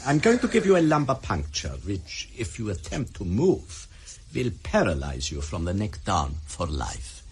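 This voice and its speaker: A man's voice: fairly low pitch, huge pitch swings, normal speed, a little hiss or hum. An actor playing a sadistic, coolly courteous Soviet doctor who lectures his victim with precise, menacing politeness in a radio drama.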